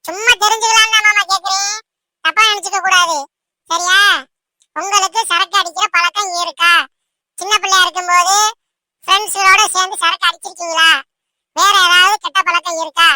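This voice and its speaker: Cartoon bride-to-be played by a woman: young, timid, fretful, extremely high and piercingly bright. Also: fairly even intonation, a little fast, pauses often, no room sound, clean studio sound, heavily acted. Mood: timid, hesitant. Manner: hesitant, fretful, repeatedly seeks reassurance. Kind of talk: amateur cartoon dubbing.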